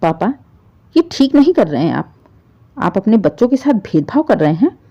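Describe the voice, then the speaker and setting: A woman's voice: mid-range voice, very animated, normal speed. Woman voicing a selfish, insistent grown son, muffled. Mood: complaining. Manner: insistent, complaining. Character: selfish adult son pressing father for inheritance. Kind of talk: dramatised Hindi moral story. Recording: dull, muffled lo-fi audio.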